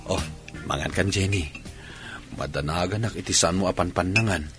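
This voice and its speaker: A man playing a patient, doting young husband who coaxes gently, in an Ilocano radio drama. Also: low-pitched, moderate pace, loud music behind the voice, lightly acted. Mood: caring, coaxing.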